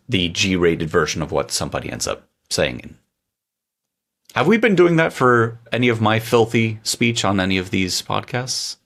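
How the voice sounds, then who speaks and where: A man's voice: fairly low pitch, a bit bright. A man co-hosting a tech podcast, chatting casually and joking playfully. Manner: playful, jokey, tangents. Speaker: podcast co-host.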